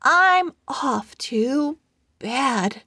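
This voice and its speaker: Woman as a very slow, cheerful little girl owl. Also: sits high, no room sound, exaggerated voice. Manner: deliberate, animated, emphatic. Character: cheerful, chatty young girl owl writing her diary.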